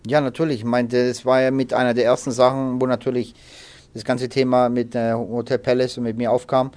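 Chef in his thirties, male, halting and full of fillers, flat, unvaried delivery. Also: medium pitch. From Berlin, raised in southern Germany. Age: 30s. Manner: halting, many fillers and restarts. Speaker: head chef. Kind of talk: interview.

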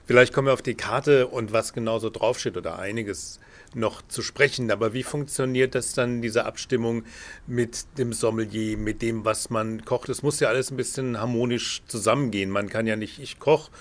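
A man's voice: mid-range voice, normal speed. A man presenting a scripted report and then asking interview questions, with a fluent, polished delivery. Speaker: radio interviewer and narrator.

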